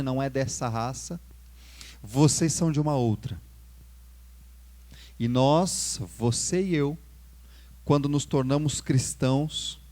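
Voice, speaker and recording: A young adult Brazilian pastor with a Brazilian Portuguese accent, preaching a sermon, lifting his voice on key words and dropping low at the ends of phrases. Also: darkish tone.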